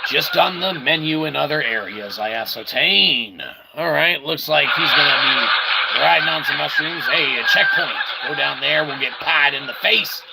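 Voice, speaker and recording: A man's voice: fairly high pitch, expressive, normal speed, gravelly. A man giving casual video game commentary in a goofy way, with wordy catchphrases and mock-formal asides. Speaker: video game streamer, reviewer. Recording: very noisy, game sound behind.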